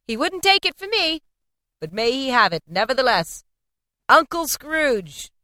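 A jolly young gentleman raises a toast in a hearty, raised voice, as a woman puts him on. The voice is bright, lively and close, with no room echo. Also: mid-range voice, fairly quick, close to an everyday voice.

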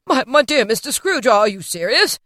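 A woman voicing an astonished, portly old gentleman in a storybook, stammering and exclaiming. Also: high voice, lively intonation, moderate pace, no room sound, studio quality, pitched above the performer's own voice. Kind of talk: dramatised storybook character voice.

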